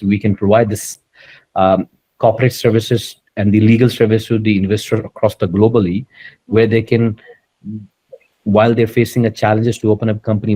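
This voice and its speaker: Low-voiced man, earnest and promotional. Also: dark tone, breathy edge, rough recording. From Dubai, UAE. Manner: earnest, repetitive, promotional, with many restarts. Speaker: business founder, interview guest. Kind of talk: conversational interview.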